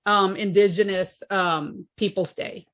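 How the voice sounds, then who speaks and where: A woman's voice: medium pitch, steady tone, measured pace, a bit bright. A woman hosting a podcast, chatting casually over a video call, laughing often and reading from a list. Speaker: podcast host. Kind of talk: casual chat.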